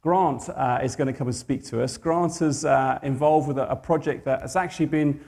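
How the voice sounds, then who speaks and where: Male host, middle pitch, gravelly fry, hesitant and informal introductions. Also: darkish tone. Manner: hesitant, halting. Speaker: event host introducing a speaker.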